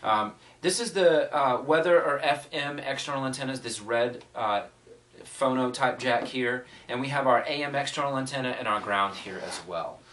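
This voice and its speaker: A man's voice: medium pitch, moderate pace, fairly bright tone. A man giving a casual unboxing review, thinking aloud in a conversational way. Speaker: product reviewer. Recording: distant mic, faint noise, clicks.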